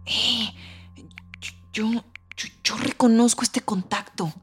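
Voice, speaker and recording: An actress playing a curious, troubled young flight attendant in an audio drama, often thinking aloud. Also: female voice, on the high side, fairly even intonation, takes it slowly, husky, heavily acted. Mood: shaken. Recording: music underneath.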